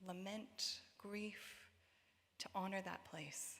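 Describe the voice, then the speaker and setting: A woman with a Canadian accent speaks as a worship leader, her voice at a middling pitch. She is solemn and deliberate, setting out a prayerful reflection one phrase at a time. Long reverb from a large hall surrounds her words. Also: steady tone, a bit bright. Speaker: worship leader at a church synod. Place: large hall at a church meeting.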